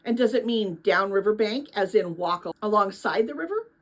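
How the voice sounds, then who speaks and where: A woman's voice: medium pitch, expressive, normal speed, close to an everyday voice. A woman voicing a kindly, thoughtful adult woman in a storybook, earnest and reflective, with sadness and hope. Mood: thoughtful, gentle.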